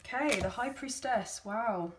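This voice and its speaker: A woman with a slightly croaky, hoarse voice, giving a casual tarot reading to her viewers in a chatty, gently encouraging way with frequent ums. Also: on the high side, measured pace, background noise. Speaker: tarot card reader.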